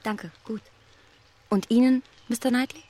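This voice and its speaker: An actress playing a proud, candid young gentlewoman in a radio drama, polite and articulate as she reproaches herself. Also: fairly high pitch, fairly even intonation, a little slow. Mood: guarded, polite. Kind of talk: German radio drama acting of a classic novel.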